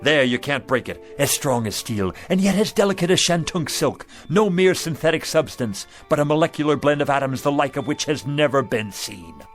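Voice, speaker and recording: An actor playing a down-on-his-luck street vendor, a persuasive pitchman with flowery salesman patter, in a radio drama. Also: expressive, moderate pace, few pauses, close to an everyday voice. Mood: grand sales patter. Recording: music under the dialogue.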